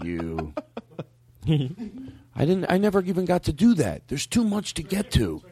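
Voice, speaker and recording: A comedy podcast host in a big studio who talks in a fairly high, lively voice. He is playful and swears freely. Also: measured pace. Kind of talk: improvised comedy banter.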